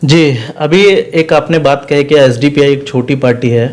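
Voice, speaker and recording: A man's voice: medium pitch, steady tone, moderate pace, a little mellow. A man giving earnest, emphatic political answers in an interview, repeating phrases for stress. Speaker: political party spokesman, interview guest.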